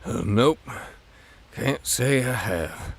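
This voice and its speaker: A man voicing a big stolid farm stallion in a cartoon comic dub, terse, gruff and weary. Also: mid-range voice, animated, extremely slow, a little hiss or hum.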